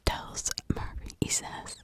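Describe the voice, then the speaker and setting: A woman whispering in a breathy, unvoiced ASMR style, telling a story informally with conversational asides. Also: slow pace. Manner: conversational, with rhetorical asides and check-ins. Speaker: ASMR true crime storyteller.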